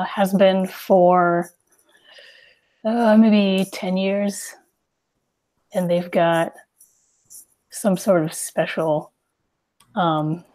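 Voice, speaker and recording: A woman talks slowly as a player in a tabletop game. She sounds tentative, thinking aloud in casual chat with long, unhurried phrasing. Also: lowish voice, a little mellow, rough recording. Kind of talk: casual character introduction and chat.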